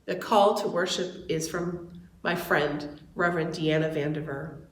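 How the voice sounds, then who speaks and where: A woman giving a measured, formal welcome and call to worship as a church's religious education director. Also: low-pitched, fairly even intonation, roomy sound. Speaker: director of religious exploration and seminary student.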